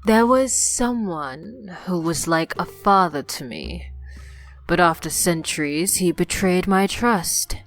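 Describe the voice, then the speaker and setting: A woman playing a confident, ancient fantasy adventurer in an audio drama, cool, measured and commanding. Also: lowish voice, faint music behind. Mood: steely. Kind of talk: acted audio fiction dialogue.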